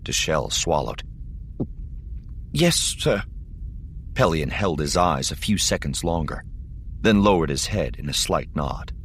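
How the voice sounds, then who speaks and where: A man's voice: very deep voice, lively intonation, moderate pace, fairly bright tone, a little hiss or hum. A man reading dramatic scripted fiction as an audiobook narrator.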